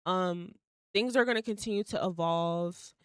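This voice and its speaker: A woman's voice: lowish voice, normal speed, clean tone, no room sound, clean studio sound. A young woman in her twenties hosting a solo podcast, rambling casually with many fillers, occasional swearing and laughs mid-sentence. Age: young adult. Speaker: podcast host.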